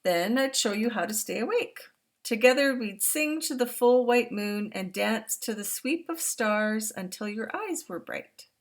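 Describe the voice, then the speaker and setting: A woman reading the lines of a loving father who gently reassures his son, from a picture book. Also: fairly high pitch, animated, a little slow. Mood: tender. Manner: tender, reassuring promises. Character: loving Maasai father, steady and protective. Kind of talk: read-aloud picture book.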